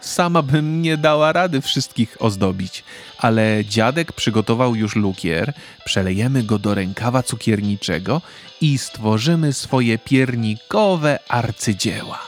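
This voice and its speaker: A man voicing a gentle, loving grandmother in a children's story read aloud. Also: medium pitch, expressive, unhurried, soft background music, lightly acted. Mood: tender. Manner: soft and tender.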